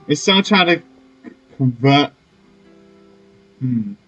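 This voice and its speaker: Casual husky-voiced male gaming streamer. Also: mid-range voice, animated, slow, a bit bright, faint music behind, rough recording. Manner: informal, slangy, muttering reactions. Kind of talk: casual let's-play commentary.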